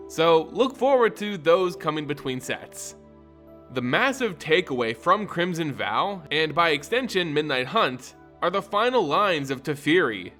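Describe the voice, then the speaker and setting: A male host reads scripted narration and commentary for a fantasy lore channel. His voice is bright and a little high, with lively intonation.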